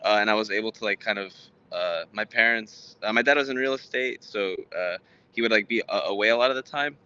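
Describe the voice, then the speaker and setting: An American man in his twenties, a native Spanish speaker from Texas, answering interview questions in a halting, rambling way with stammered repeats. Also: lowish voice, bright, forceful tone, clean tone, muffled recording. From Houston, Texas, United States. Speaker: guest, tech startup founder.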